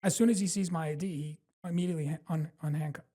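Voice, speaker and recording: A man's voice: a little high, fast. A male comedian with a New York accent, telling a rambling, profane story in a casual podcast chat. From Brooklyn, New York. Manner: animated, profane, rambling, full of fillers and self-mockery. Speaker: stand-up comedian guest.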